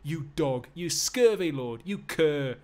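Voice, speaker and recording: A man's voice: sits high, animated, moderate pace, pitched above the performer's own voice. A man playing a big, dim, angry warrior with a Geordie accent, barking his lines in a belligerent read-aloud of a stage play. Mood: furious. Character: Ajax, big strong dim-witted brutish warrior, bullying and angry.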